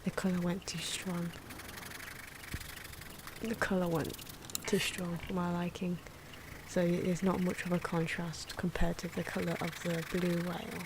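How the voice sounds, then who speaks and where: A soft-spoken, hesitant woman giving ASMR commentary on her own drawing, with pencil and marker noises. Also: on the low side, little pitch movement, a little slow, noisy background. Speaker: artist narrating own drawing video.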